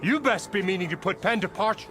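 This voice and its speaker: High-pitched, blustering boss played by a man. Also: a little fast, music in the background, natural voice. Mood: irritated. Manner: gruff, belligerent. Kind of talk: game cutscene dialogue.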